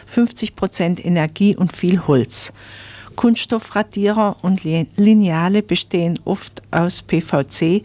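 A woman's voice: on the low side, darkish tone. A woman reading a scripted consumer information item as a radio presenter. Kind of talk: scripted magazine news reading.

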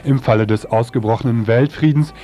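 A man's voice: medium pitch, fairly quick, dark tone. A man reading a scripted satirical mock report as a radio presenter. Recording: dull lo-fi radio recording over music. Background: music.

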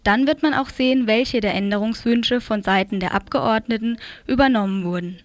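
A woman's voice: on the high side, moderate pace. A woman reading a scripted news report on the radio.

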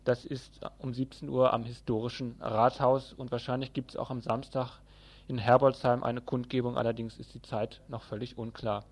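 A man's voice: mid-range voice, level tone, normal speed, a little mellow. A man presenting a news report from a script, as a radio newsreader.

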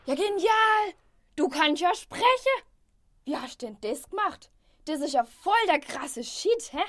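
A woman plays a cool but self-doubting young rapper in a radio play. The speech is slangy and swaggering, with very lively pitch. Phrases come slowly, with pauses. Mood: excited, amazed. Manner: swaggering street slang, tag questions. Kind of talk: youth radio play acting.

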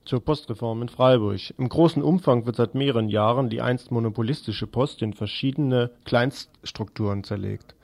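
Male radio news presenter, medium-pitched voice, scripted reading. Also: vocal fry.

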